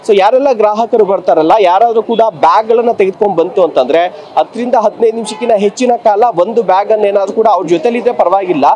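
A man's voice: sits high, warm tone. A young man reporting on location outside a city restaurant, speaking into a handheld mic with frequent "aa" fillers. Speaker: field reporter. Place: outdoors in front of a busy city restaurant. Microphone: handheld mic held close.

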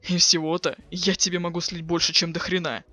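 A man voicing a cool, sarcastic teenage boy with offhand deadpan delivery in a webcomic dub. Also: fairly high pitch, a little fast, no echo, soft background music. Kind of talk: fan dub of a webcomic chat.

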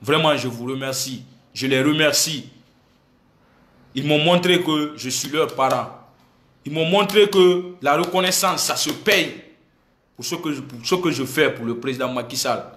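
A man's voice: fairly high pitch, normal speed, fairly bright tone, a little hiss or hum. A man in his thirties from Senegal speaks emphatically to his phone at arm's length, repeating himself and switching languages. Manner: emphatic, repetitive, switching between French and a local language, gesturing. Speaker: activist addressing followers. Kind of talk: impassioned selfie video statement. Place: indoor room with air conditioner. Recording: echoey room on a phone.

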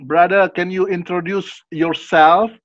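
Male host on headset, high-ish voice, halting English, dry dull audio. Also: fairly even intonation, no room sound. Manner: halting non-native English, frequent fillers and encouraging backchannels. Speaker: interview host. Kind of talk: video call interview.

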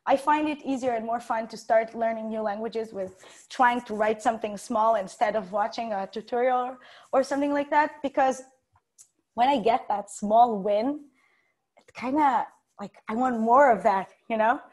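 A woman's voice: on the high side, moderate pace, a little mellow. A young woman giving an informal tech talk over a video call, chatty, giggly and self-deprecating. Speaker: conference speaker, software developer. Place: indoors, room with bookshelves.

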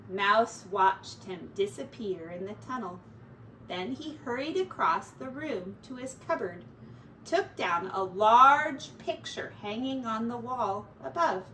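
Slow, expressive woman storyteller. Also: on the high side. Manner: measured, dramatic. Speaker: teacher reading aloud. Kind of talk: expressive children's storybook narration. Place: small attic-style bedroom, indoors. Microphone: distant camera mic. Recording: roomy, distant mic, faint noise.

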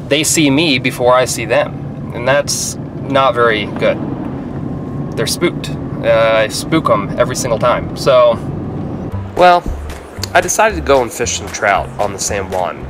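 A young man casually narrating his fishing trip, speaking quietly as he stalks fish by a lake. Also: expressive, a little slow, music in the background. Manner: hushed and low while stalking fish. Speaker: fishing vlogger. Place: outdoors by lake flats, partly in a car. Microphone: action camera on head or in hand.

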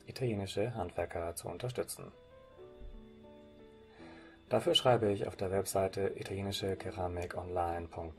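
A man from Germany presenting, reading scripted narration for a slide presentation in a formal way. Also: low-pitched. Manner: formal, read-out delivery.

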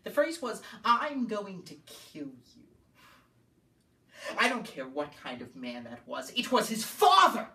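Man acting forceful, hot-tempered, belligerent juror. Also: high-pitched, huge pitch swings, a little slow, exaggerated voice. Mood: angry. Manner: combative, agitated, stammering outbursts. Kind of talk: theatrical dramatic monologue. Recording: echoey room, distant mic.

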